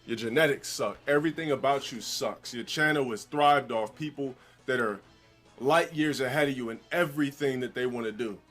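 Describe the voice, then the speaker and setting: Deep-voiced man ranting insults. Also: moderate pace, fairly bright tone. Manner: insulting, boastful rant. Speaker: streamer in a played clip. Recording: played clip with faint music.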